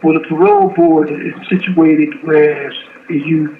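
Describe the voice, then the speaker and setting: A man in his seventies, in prison, speaking slowly and haltingly in an interview, with many restarts. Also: on the high side, fairly even intonation. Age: 70s. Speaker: incarcerated interviewee. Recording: tinny phone line with faint hiss.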